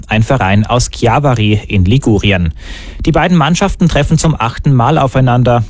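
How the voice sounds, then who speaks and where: A man reads a short sports report for radio, brisk and factual. His mid-range voice sounds close and dry, with no room around it. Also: medium pitch, darkish tone, dry recording. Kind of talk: pre-recorded sports report.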